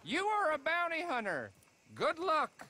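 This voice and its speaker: High-pitched, folksy western sheriff. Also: male voice, wildly swooping pitch, a little slow, pushed and bright, heavily acted. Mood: dry, official. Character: small-town male Old West sheriff, dry and official. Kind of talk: video game cutscene acting.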